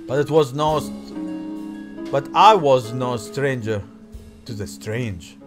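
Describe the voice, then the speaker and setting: Slow, theatrical man reading game text aloud. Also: medium pitch. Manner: halting read-aloud with theatrical emphasis and offhand asides. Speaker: gaming video host. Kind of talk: let's play, reading visual novel text aloud with side comments. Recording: music behind the voice. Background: game music.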